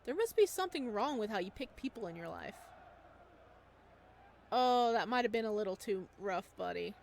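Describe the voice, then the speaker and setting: A woman hosts a gameplay session, reading a visual novel aloud. Her voice sits at a middle pitch. She moves between the written story and off-the-cuff asides. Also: lively intonation, moderate pace, vocal fry, a little hiss or hum.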